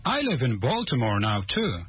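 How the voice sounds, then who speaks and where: Careful student, very animated pitch. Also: male voice, slightly rough, exaggerated voice. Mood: polite, careful. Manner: careful, halting speech of a language learner. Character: polite Polish student, learning English, eager and uncertain. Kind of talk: slow, simple scripted language-lesson drama. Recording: muffled lo-fi lesson audio, music behind.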